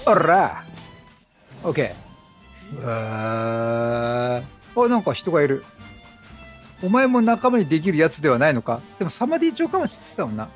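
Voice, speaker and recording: A man's voice: a little high, big pitch swings, moderate pace, pauses often, soft background music. A talkative man doing chatty let's-play commentary, exclaiming and talking to the game's characters. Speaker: gaming host. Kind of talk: chatty let's-play commentary, reading game dialogue aloud.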